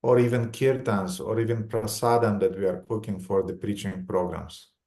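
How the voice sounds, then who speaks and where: A man with a Romanian accent giving a religious lecture in short phrases, pausing for an interpreter. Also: fairly low pitch, fairly even intonation, warm tone.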